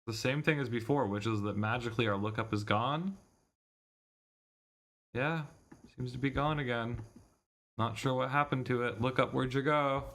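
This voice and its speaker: A man's voice: medium pitch, normal speed, long pauses, a bit bright. A man streaming a visual novel, chatting casually and muttering mildly exasperated asides. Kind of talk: casual livestream chat.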